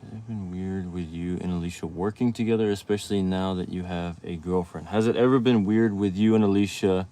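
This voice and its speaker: A man hosts a relaxed livestream. His voice sits a little low, and he talks in loose, rambling stretches with frequent restarts, pausing to read viewers' questions aloud. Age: 30s. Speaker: livestream host. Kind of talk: casual livestream chat.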